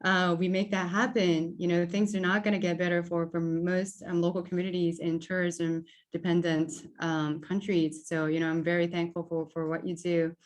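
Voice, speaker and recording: Low-voiced woman hosting online event. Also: level tone, normal speed, hardly any pauses. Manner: hesitant with fillers. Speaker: event host.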